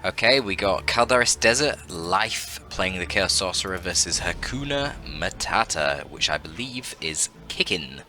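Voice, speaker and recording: A man's voice: sits low, animated, a little slow, few pauses, pushed and bright, soft background music. A man with a bunged-up voice giving casual, sweary, rambling commentary on a video game match with joking asides. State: congested, bunged-up voice.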